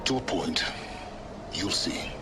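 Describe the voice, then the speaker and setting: An actor voicing a cryptic, self-assured inventor guide in a video game, speaking in a measured, dry, formal way over music. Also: male voice, low-pitched, expressive, heavy background noise. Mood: dryly teasing. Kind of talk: video game cutscene acting. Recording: loud music under the voice.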